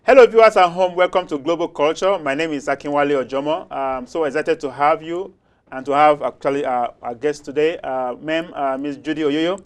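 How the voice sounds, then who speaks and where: A man's voice: a little high, brisk. A man hosting a studio talk show, asking questions with many hesitations and fillers. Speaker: host.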